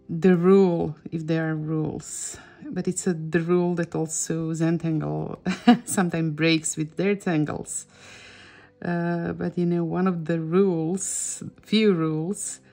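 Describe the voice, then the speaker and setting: A woman's voice: on the low side, warm tone. A middle-aged woman with a Croatian accent, a native Croatian speaker, giving a casual drawing tutorial and often searching for words. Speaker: drawing teacher and host.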